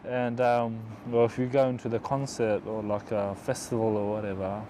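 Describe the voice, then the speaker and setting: A man's voice: on the low side, level tone, moderate pace, mellow, a little hiss or hum. A young man from Afghanistan in his twenties, chatting casually in a documentary interview with many fillers. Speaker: interviewee, engineering student.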